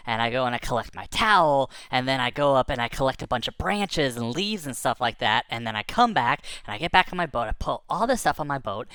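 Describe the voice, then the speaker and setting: A woman improvising a children's story in a casual, animated, conversational way, acting out the dialogue as she goes. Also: extremely low pitch, smooth, no echo.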